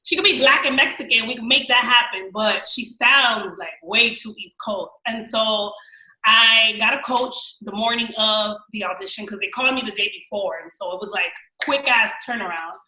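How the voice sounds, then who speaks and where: A young woman with a New York accent, telling stories as a podcast guest in an animated, slangy way. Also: a little high, moderate pace, roomy sound, rough recording. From New York, raised in Miami. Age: young adult. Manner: animated, slangy, quotes people in voices. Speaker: guest actress. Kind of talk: casual storytelling chat.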